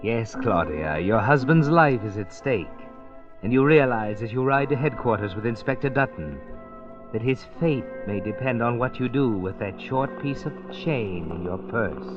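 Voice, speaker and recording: A man's voice: medium pitch, animated, normal speed, lightly acted. An actor playing an ominous, all-knowing narrator who needles a guilty woman in a 1940s radio mystery, menacing and insinuating. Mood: grave, ominous. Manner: menacing, insinuating, clipped urging. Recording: dull old radio recording with music behind.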